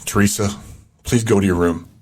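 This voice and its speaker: Man acting a low-voiced, calmly menacing captor leader. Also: natural voice. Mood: controlled, persuasive. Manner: calm, controlling, coldly deliberate. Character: menacing captor and cult-like community leader, falsely benevolent. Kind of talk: audio drama acting.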